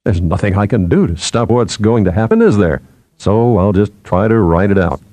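A man's voice: on the low side, normal speed, mellow, no room sound, lightly acted. A man voicing a wry, nervy man resigned to looming catastrophe, in a hesitant, questioning way for an audiobook. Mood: resigned gaiety.

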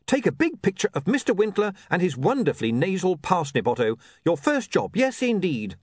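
A harried young man running a newspaper, sarcastic and flustered, as a man voices him. His raised voice swings widely in pitch. The recording is close and dry. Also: huge pitch swings, a little fast, darkish tone, slightly rough, no room sound, exaggerated voice. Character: young male newspaper editor, flustered and exasperated.

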